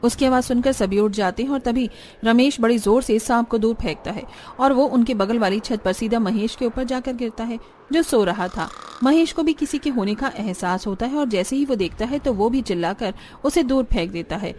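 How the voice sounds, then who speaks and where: A woman's voice: on the high side, normal speed, darkish tone, a little hiss or hum. A woman narrating a cartoon story in plain, scripted storytelling.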